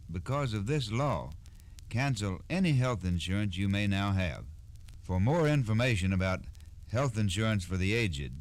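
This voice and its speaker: A man speaking as an expert guest in a scripted 1960s radio explanation, formal, deliberate and instructive. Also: fairly low pitch. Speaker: Social Security expert guest.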